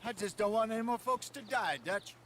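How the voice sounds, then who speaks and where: A weary older outlaw, played by a man, who argues calmly for caution. His voice is a bit high, with faint noise in the background. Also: brisk, natural voice. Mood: cautious. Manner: calm, pleading, reasonable. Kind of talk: video game cutscene dialogue.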